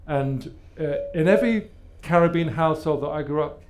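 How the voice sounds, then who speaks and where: Man from Luton hosting, slightly high voice, thoughtful and easygoing. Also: normal speed, noisy background. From Luton, England. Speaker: host and author. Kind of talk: hosting a live book talk.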